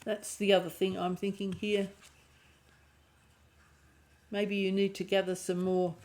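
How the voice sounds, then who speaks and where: A woman with a croaky voice and a lingering cough giving an intuitive tarot reading, thinking aloud and often restarting her phrases. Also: mid-range voice, level tone, measured pace, a little mellow. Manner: musing aloud, restarting phrases, clearing throat. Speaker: tarot reader.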